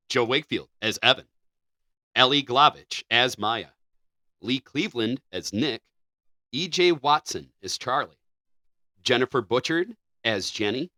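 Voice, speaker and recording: A man co-hosting a casual podcast, quick to laugh at himself. His voice is bright and forceful. The sound is very dry. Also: medium pitch, no room sound. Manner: laughing, self-deprecating. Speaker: podcast co-host. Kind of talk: casual chat.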